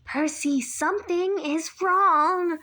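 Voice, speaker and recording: A woman doing an urgent sharp-witted girl. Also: very high-pitched, takes it slowly, pitched above the performer's own voice. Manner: urgent, insistent. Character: sharp, alert young girl warning friends.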